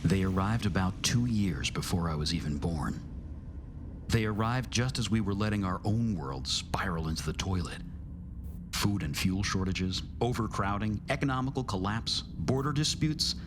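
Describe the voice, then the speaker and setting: An actor playing a grave, battle-weary narrator of an alien war in a motion comic, solemn, measured and deliberate. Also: male voice, deep voice, lively intonation, noisy background. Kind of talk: dramatic scripted narration. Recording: music behind the voice.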